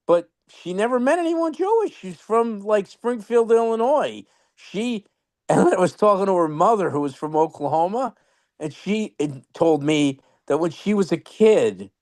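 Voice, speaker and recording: A man with a New York accent tells stories in an animated, halting way, stopping and restarting as he goes. His voice sits a bit high for a man. The sound is close and dry. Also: no room sound. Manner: animated, laughing anecdotes with many restarts. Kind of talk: casual storytelling chat. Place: online video meeting.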